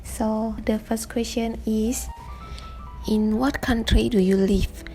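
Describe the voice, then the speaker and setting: A woman, chatting softly through a casual Q&A voice-over, sometimes dropping into hushed asides. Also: medium pitch, creaky, rough recording. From Indonesia. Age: 20s. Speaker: artist vlogger. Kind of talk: casual Q&A voice-over while painting. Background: faint background music.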